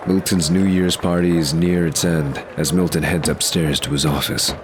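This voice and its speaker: A man's voice: low voice, fairly even intonation, normal speed, crowd noise, noisy background. A man giving scripted, present-tense narration as the narrator of an audio drama.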